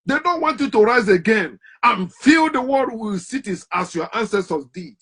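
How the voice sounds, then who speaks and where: Man, husky, fairly high voice, fiery preaching monologue, rhetorical questions. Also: expressive, normal speed. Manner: forceful, rhetorical questions, wide swings in loudness. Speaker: commentator.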